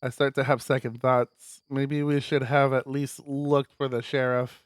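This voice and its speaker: A man streaming a visual novel, reading it aloud as expressive narration with casual asides. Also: medium pitch, a little slow, no echo, clean studio sound.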